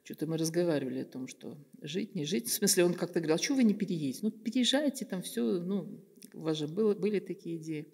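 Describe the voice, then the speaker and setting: Older lady at a table mic, lowish voice, lots of ums and pauses, roomy reverb. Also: animated, warm tone, husky. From Kazakhstan. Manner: thoughtful, many pauses and fillers. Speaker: guest artist. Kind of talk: reflective interview talk. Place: bright room with plants, seated at table. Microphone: table microphone on stand.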